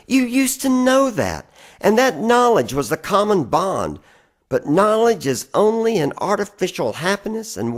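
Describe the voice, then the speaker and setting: Slow, expressive man reciting poetry. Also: a little high. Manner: deliberate phrasing with theatrical emphasis. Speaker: reader of poetry. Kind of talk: dramatic spoken-word poetry recitation.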